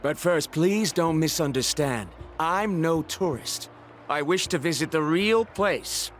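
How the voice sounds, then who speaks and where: An actor playing a courteous, formal visiting scholar asking to hire a guide. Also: male voice, fairly high pitch, lively intonation, slow pace, faint music behind. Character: formal male foreign scholar, polite.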